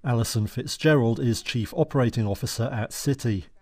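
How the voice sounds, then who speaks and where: A man's voice: medium pitch, brisk, a little mellow. A man narrating a scripted news report as a reporter.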